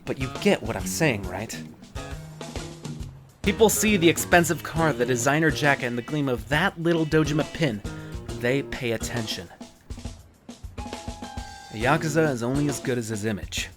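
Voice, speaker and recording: An actor playing a slick, talkative, cocky young yakuza in a video game fan dub, over guitar music. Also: male voice, mid-range voice, expressive, normal speed, pauses often, natural voice. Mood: cocky, lecturing. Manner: cocky, chatty, casual.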